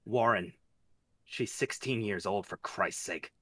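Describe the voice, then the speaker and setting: A man playing a gruff, blunt, angry mutant hero in a script read aloud. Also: lowish voice, slow pace, bright, forceful tone, exaggerated voice. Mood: stern pleading. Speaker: table read participant. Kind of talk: reading a film script aloud.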